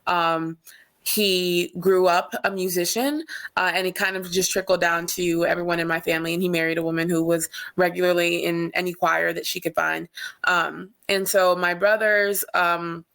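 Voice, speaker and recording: A woman's voice: fairly low pitch, normal speed, gravelly, clean tone. A woman from Cameroon, a singer-songwriter, speaking casually and hesitantly as a panel guest on a video call. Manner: relaxed, hesitant with many ums.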